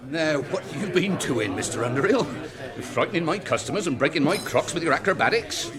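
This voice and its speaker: An actor playing a blustering, good-natured innkeeper in a radio drama, with a rural English accent and a bustling, folksy, scolding manner. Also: male voice, a little high, animated, fairly quick, lightly acted. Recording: crowd chatter behind.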